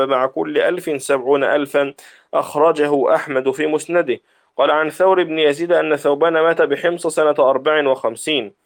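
Man reciting a classical text aloud. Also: a little high. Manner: steady recitation of narrator chains and reports. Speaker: student reading a text aloud to a sheikh.

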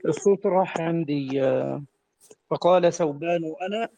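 A man teaching as sheikh, giving quick affirmations and short corrections to a reader. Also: fairly high pitch, gravelly, mellow, poor audio. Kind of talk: brief teacher comments and corrections.

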